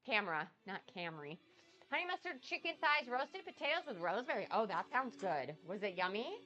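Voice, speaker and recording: Bright-voiced woman with a cold. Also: mid-range voice, very animated, a little fast, highs cut off, soft background music. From United States. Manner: chatty, rambling, reads out and answers chat comments. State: nasal and sniffly from a cold. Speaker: cooking livestream host. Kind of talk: casual cooking livestream chat with viewers.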